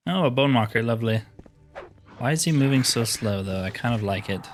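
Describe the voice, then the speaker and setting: A man's voice: medium pitch, animated, brisk, music in the background. Man casually commentating over a video game. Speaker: host of a video game roleplay series.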